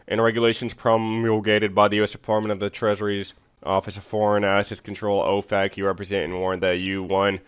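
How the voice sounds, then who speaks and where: Male streamer, lowish pitch, clean smooth tone, reading aloud, casual slang, occasional stumbles and self-corrections, slightly dull recording. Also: flat delivery, brisk, few pauses. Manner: stumbles over words and corrects himself; slangy casual speech with frequent "bro". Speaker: live streamer. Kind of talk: reading a legal document aloud on a livestream, with casual asides.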